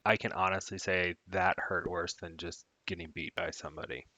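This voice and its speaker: A man with a very low voice talks over a voice call, and the sound is dull, dry and lo-fi. He is easygoing and chatty as he swaps stories about earlier matches. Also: level tone, normal speed, pushed and bright, dry recording. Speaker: guest player on a call. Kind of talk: casual gaming chat.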